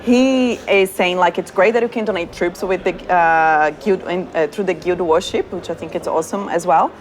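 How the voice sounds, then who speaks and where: A cheerful woman with a Brazilian accent hosts a casual studio chat, reading out viewer questions and laughing easily. Her voice sits a little low for a woman, and she keeps the talk light and friendly. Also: moderate pace. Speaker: product manager hosting a community Q&A. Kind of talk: casual video chat with a colleague.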